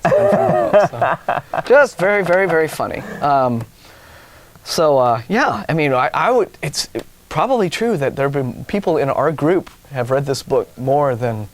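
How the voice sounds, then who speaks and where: A man's voice: a little high, very animated, a little slow. An American man co-hosting a talk show, reading out news items with casual, wry and mocking asides. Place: TV studio.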